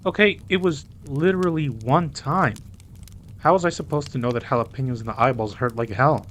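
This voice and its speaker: A man's voice: medium pitch, fairly quick, a bit bright, a little hiss or hum, natural voice. A man playing a protective, easygoing mafia boss with a wry streak in an audio roleplay. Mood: incredulous.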